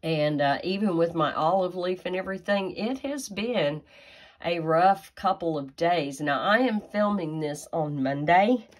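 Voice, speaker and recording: Stuffy-nosed woman, low and slow. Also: animated. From Tennessee, USA. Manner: chatty and folksy, with frequent fillers and asides. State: stuffy nose from a sinus infection. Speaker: craft demonstrator. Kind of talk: casual card-making tutorial.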